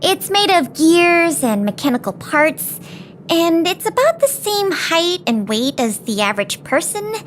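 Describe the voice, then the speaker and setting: Bright-pitched, animated young officer. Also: female voice, unhurried, slight background noise, heavily acted. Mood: explanatory, earnest. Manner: articulate, businesslike, explanatory. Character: earnest, dutiful young female guard intelligence officer, brisk and polite. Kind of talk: anime-style video game voice acting.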